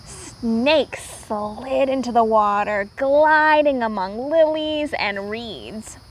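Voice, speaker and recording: A woman teacher reading aloud to young children, pausing to explain words simply and repeating herself for clarity. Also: fairly high pitch, a little hiss or hum.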